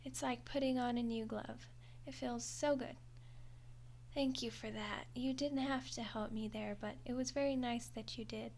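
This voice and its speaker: A woman's voice: on the high side, fairly even intonation, moderate pace, vocal fry, darkish tone, slight background noise. A woman voicing a friendly, awkward undead skeleton girl in an audio roleplay, chatty and hesitant with a wry, defensive streak. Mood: friendly, sheepish. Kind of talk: audio roleplay monologue to the listener.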